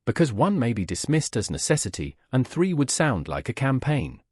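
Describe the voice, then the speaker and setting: A man's voice: mid-range voice, very animated, normal speed, a little mellow, husky, no echo, clean studio sound. Man voicing an earnest, formal Regency duke. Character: principled, self-restrained English duke in Regency romance.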